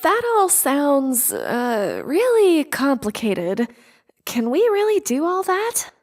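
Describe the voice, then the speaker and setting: A woman's voice: high voice, wildly swooping pitch, slow, darkish tone, exaggerated voice. A woman playing a puzzled, inquisitive young crew member in an audio drama, hesitant and questioning.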